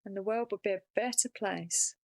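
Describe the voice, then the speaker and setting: A woman in a casual podcast conversation, talking fast and excitedly. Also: mid-range voice, no room sound. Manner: fast, animated, excited talk. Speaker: podcast guest.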